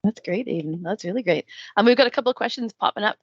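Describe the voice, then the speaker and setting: Friendly, loose webinar host; dry, muffled call audio with faint music under it. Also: female voice, mid-range voice, animated, fast talker, no room sound. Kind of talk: hosting a live webinar.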